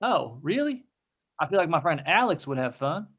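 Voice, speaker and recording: Man as Southern cowboy, thirties, flirty, polite, very animated intonation, comedy table read. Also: on the high side, moderate pace, slightly rough, lo-fi sound, full character voice. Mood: easygoing. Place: indoor room on a video call.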